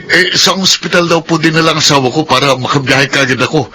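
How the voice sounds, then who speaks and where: A man's voice: on the high side, quick pace, sharp tone, slight background noise. A man playing a troubled young husband and father in a Filipino radio drama, earnest and emotive. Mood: worried.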